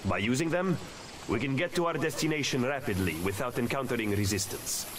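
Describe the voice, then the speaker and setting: A man's voice: medium pitch, expressive, brisk, background noise, lightly acted. A man with an Italian accent playing a grave, frustrated Renaissance conspirator in a video game cutscene. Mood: grave, urgent. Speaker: video game character actor. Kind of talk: dramatic game cutscene acting. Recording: game audio with music behind.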